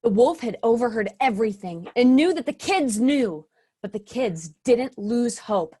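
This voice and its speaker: A woman's voice: on the high side, animated, unhurried, mellow, breathy edge. A woman narrating a fairy tale stage play in a storybook manner. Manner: storybook narrating with an ironic aside.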